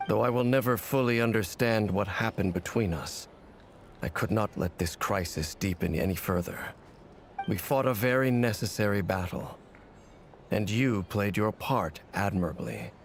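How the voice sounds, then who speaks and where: A man voicing a solemn, stoic warrior in a video game, speaking formally and gravely. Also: fairly low pitch, moderate pace, a little hiss or hum. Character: solemn, stoic warrior ally, measured and dutiful. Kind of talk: video game character acting.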